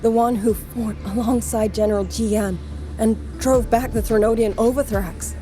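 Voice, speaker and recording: An actress playing a guarded young woman soldier in a video game, a shaken survivor speaking in a measured, formal, earnest way. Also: fairly high pitch, steady tone, mellow, natural voice. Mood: searching, dawning recognition. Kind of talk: English game voice acting. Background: game music.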